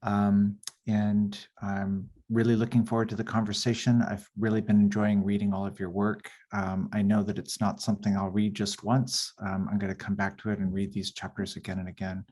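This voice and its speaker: Male therapist, low, monotone, earnest, video call. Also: moderate pace, hardly any pauses. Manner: earnest, with filler "uh"s. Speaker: family therapist and professor. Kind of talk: casual self-introduction.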